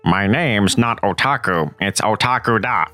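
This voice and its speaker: A man's voice: on the low side, unhurried, sharp tone, no room sound, soft background music, pitched below the performer's own voice. A man voicing a laid-back, slangy, know-it-all nerdy teenage boy, sounding a bit distant from the mic.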